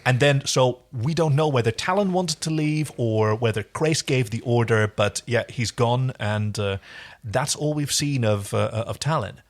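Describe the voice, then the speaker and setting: A man co-hosting a casual TV recap, sometimes halting as he searches for words, then rushing on. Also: mid-range voice. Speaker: podcast co-host. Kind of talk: casual TV episode recap discussion.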